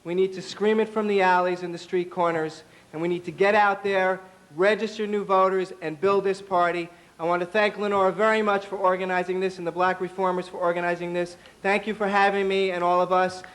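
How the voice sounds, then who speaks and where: A hoarse man, a party official, giving a political speech at an event, halting with ums and building to a rousing call. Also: high voice, flat delivery, a bit bright.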